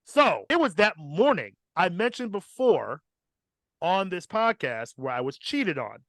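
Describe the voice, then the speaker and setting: A man's voice: high-pitched, very animated, a little slow, fairly bright tone, no echo, clean studio sound. A man hosting a podcast, telling a personal story in a casual, profane and emphatic comic style.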